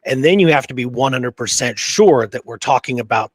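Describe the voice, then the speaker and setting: A man's voice: medium pitch, expressive, fairly quick, slightly rough, no room sound. A man co-hosting a history podcast, talking through the subject in a casual, conversational way. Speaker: podcast co-host.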